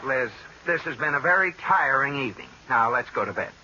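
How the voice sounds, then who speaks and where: A man's voice: medium pitch, lively intonation, brisk, lightly acted. An American man playing an exasperated, scolding husband in old radio sitcom style, emphatic and indignant. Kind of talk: 1940s-50s radio sitcom acting. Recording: muffled old-time radio recording, faint noise.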